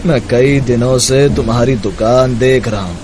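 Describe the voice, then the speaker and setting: A man voicing a gentle, grateful elderly man in an animated moral story, speaking slowly and solemnly. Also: medium pitch, noisy background, lightly acted. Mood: solemn, warm. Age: older. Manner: slow, solemn.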